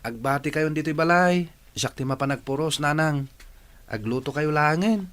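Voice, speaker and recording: A man's voice: on the high side, normal speed, fairly bright tone, natural voice. An actor playing an earnest, dutiful young village man in a radio drama. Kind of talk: Philippine radio drama acting.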